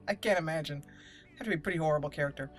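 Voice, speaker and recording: A woman's voice: deep voice, very fast, soft background music. A woman hosting a roleplay let's play, switching between casual commentary and reading character dialogue aloud.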